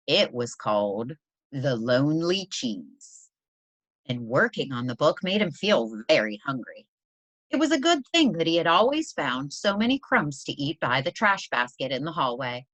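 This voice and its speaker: Low-voiced woman reading aloud, very lively intonation. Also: measured pace, dry recording. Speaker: presenter reading a children's picture book aloud. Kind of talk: expressive read-aloud storytelling for children.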